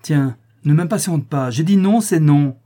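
A man's voice: a little high, lively intonation, fairly quick, pitched above the performer's own voice. A man voicing a fierce, bullying woman prisoner. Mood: angry, scornful. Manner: harsh, shouting, bullying. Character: fierce, quick-tempered female prisoner.

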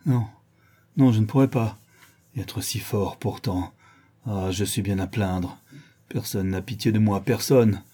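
A man's voice: on the high side, lively intonation, normal speed, mellow, breathy edge, pitched above the performer's own voice. A man voicing a brutal brigand who has been blinded, exclaiming and pleading in a storybook reading. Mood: broken, tearful. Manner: exclamatory, pleading, repeating words in anguish. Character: brutal male brigand, newly blinded, raging then pitifully despairing.

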